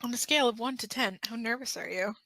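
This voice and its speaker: A woman's voice: on the high side, fast, gravelly, noisy background. A woman voicing a playful, pleading, dramatic young man in a fan-made audio drama. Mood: playful, nervous. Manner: teasing, dramatic.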